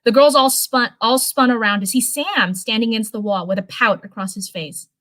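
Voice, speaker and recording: A woman's voice: a little high, moderate pace, a bit bright. A woman reading fan fiction aloud on a live stream, stumbling over and repeating phrases and chatting casually in between. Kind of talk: live storytelling read-aloud with casual chat.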